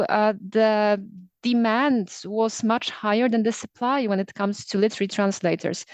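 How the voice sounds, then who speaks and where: A woman's voice: a little high, a little mellow, dry recording. A woman, a literary translator, answering interview questions in a thoughtful, candid way with some fillers. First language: Polish.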